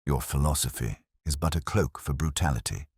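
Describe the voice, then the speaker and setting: A man voicing a coolly analytical gentleman detective in an audiobook, stern, composed and precise. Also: a bass voice, a little fast, slightly rough, no room sound, studio quality.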